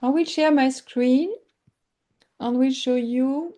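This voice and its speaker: A woman with a French accent lecturing in English over a video call, pausing often and searching for words with many fillers. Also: high voice, dark tone. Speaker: workshop lecturer. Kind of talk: online teaching session.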